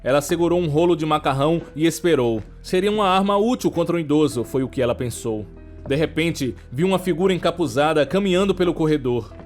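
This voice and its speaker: A man with a Brazilian accent narrating a scripted horror story in a measured, dramatic way. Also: on the high side, animated, a little mellow, soft background music.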